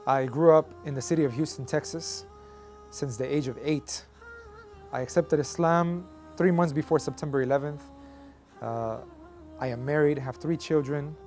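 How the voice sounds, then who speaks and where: High-voiced man telling his story. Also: moderate pace, a little mellow, faint music behind. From Colombia. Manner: reflective, frequent "like" fillers. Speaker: interviewee. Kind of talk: personal testimony, casual storytelling.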